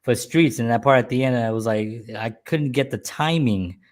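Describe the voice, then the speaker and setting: A man's voice: mid-range voice, normal speed. A middle-aged man hosting a show and reminiscing about being a musician in a casual, rambling, jokey way.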